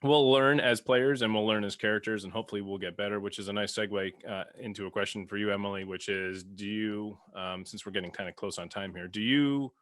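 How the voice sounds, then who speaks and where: A man with a fairly low voice co-hosts a casual podcast. He speaks haltingly, circling back to rephrase his phrases, in a dry, close recording. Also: steady tone, fairly bright tone, no room sound. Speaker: podcast co-host and player.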